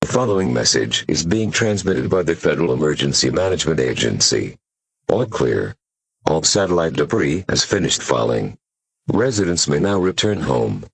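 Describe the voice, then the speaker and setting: A man reads a formal scripted government emergency bulletin as an announcer, in a measured, impersonal manner. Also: extremely low pitch, big pitch swings, slightly rough, low-quality recording.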